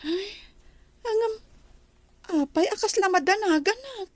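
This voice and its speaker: A woman's voice: very high-pitched, measured pace, dry recording. A woman playing a curious, teasing younger sister in a radio drama.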